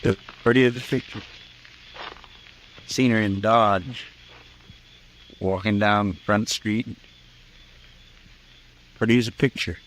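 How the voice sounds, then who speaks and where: An actor playing a loyal, plain-spoken frontier deputy in an old radio western, simple and folksy. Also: male voice, fairly low pitch, fairly even intonation, slow pace, long pauses, fairly bright tone, rough recording. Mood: shaken, wistful. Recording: vintage radio recording, background noise.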